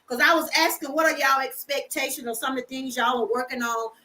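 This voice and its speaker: Lady host, high and bright, cheering guests on. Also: quick pace, a little hiss or hum, low-quality recording. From Georgia. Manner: encouraging, asks guests questions. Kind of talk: casual live stream chat.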